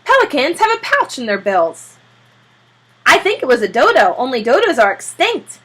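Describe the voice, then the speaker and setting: A brash young storybook newspaperman, put on by a woman reader. He is excited and nosy, with a high, bright voice that leaps around. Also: normal speed, breathy edge, heavily acted. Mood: inquisitive. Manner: excited, pushy, inquisitive.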